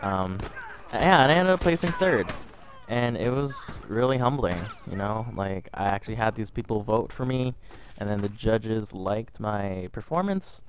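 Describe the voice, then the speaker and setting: Young man, a bit under the weather, casual rambling storyteller over game footage, low-pitched. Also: expressive, unhurried, a little hiss or hum. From Canada. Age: young adult. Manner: rambling, repeats words and restarts often. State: a little sick. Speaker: gaming vlogger.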